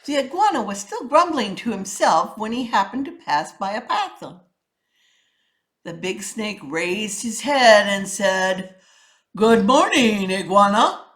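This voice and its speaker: A woman's voice: medium pitch, animated, measured pace. A woman narrating a children's story as a storyteller, with a theatrical, emphatic delivery. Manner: theatrical, emphatic with rhythmic sound words.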